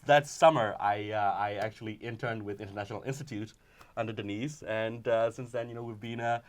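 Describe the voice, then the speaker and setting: A man with a Burmese accent hosting a panel discussion, talking quickly and laughing often. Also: lowish voice, steady tone. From Burma. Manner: quick, warm, laughs often, many "mm-hmm" backchannels. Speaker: host. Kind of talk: public radio panel discussion.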